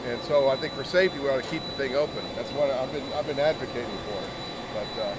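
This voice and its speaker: A man's voice: medium pitch, quick pace. A man doing a casual on-location interview, chatty and stopping to explain jargon to listeners. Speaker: interviewer and pilot. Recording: loud aircraft noise behind. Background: airplane and jet engine noise.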